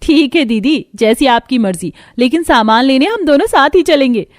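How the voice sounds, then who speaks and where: A woman's voice: high-pitched, expressive, normal speed. A woman voicing an eager, caring young sister-in-law in a cartoon story, speaking in an insistent, supportive way. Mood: cheerful. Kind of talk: Hindi cartoon story dialogue.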